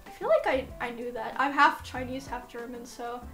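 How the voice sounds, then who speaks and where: A young woman hosting a live stream, chatting casually with guests and reading out the chat, often trailing off into quieter asides. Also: fairly high pitch, normal speed, roomy sound, soft background music. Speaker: streamer host. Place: bedroom streaming room full of plush toys.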